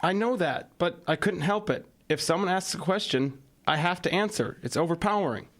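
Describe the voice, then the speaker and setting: Actor as ancient Greek oracle, helpless, compelled to answer, mid-pitched, audio drama. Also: moderate pace, natural voice. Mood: helpless, resigned.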